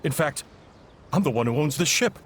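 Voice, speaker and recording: A man's voice: a little high, animated, moderate pace, close to an everyday voice. Guy doing a flustered stammery ship owner, voice jumps around, faint hiss behind. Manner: hesitant, stammering. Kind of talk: video game voice acting.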